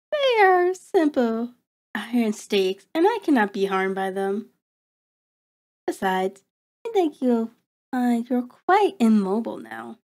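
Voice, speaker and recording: A woman's voice: fairly high pitch, very animated, slow pace, long pauses, darkish tone, heavily acted. A woman playing a cruel, predatory vampire in an ASMR horror roleplay, taunting and haughty, savoring words in formal diction. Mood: smug. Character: cruel, predatory female vampire toying with her captive.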